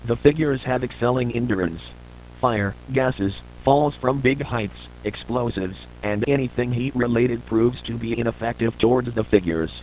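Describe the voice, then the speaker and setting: A man reading scripted documentary-style narration for an analog horror piece, in a formal, measured report delivery. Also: medium pitch, darkish tone, a little hiss or hum, rough recording.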